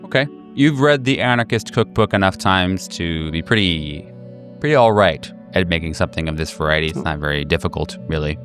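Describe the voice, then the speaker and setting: A man's voice: deep voice, lively intonation, fairly quick, fairly bright tone. A man running a tabletop horror game as game master, improvising narration in a casual way. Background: background music.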